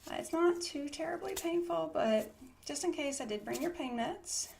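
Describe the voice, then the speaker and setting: A woman's voice: high-pitched, animated, normal speed, gravelly, darkish tone, a little hiss or hum. A woman doing an ASMR medical roleplay as a nurse, explaining each step in a casual, reassuring bedside way. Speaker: ASMR creator playing a trauma nurse.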